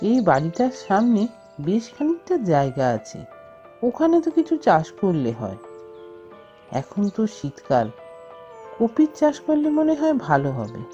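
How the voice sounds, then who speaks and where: A woman voicing an enterprising, hopeful young village man in a cartoon fairy tale, thinking aloud in a deliberate, expressive way. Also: medium pitch, lo-fi sound. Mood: pondering, hopeful. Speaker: cartoon character voice. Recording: music bed behind the voice.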